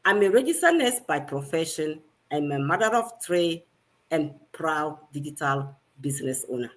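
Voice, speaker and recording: A woman's voice: sits low, sing-song, moderate pace. Upbeat woman from ghana hosting a live video call, keeps asking the viewers stuff. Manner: upbeat, asks the audience call-and-response questions. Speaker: host. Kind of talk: live stream chat.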